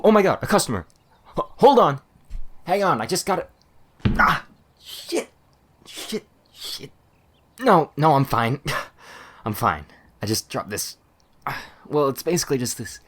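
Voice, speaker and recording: A man's voice: mid-range voice, big pitch swings, unhurried, long pauses, husky. A man playing a talkative, easily flustered male witch shopkeeper in an ASMR roleplay, rambling and stammering as he eagerly explains things. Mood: flustered, welcoming.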